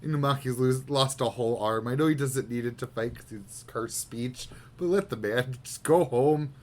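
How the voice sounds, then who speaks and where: A man with an ordinary mid-range pitch, co-hosting a podcast. He is laid-back and laughs easily, and his sentences often sink to a quiet trail. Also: moderate pace. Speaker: podcast co-host. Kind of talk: casual chat.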